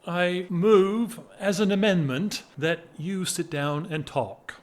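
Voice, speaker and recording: A man performs a restless, whimsical gentleman of title in an audio drama. His voice sits a little high for a man and moves very slowly through wordy, mock-formal phrases. The delivery is theatrical and teasing. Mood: playful, whimsical. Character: whimsical, restless English gentleman, an aristocrat in love. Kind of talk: stage-style audio drama acting.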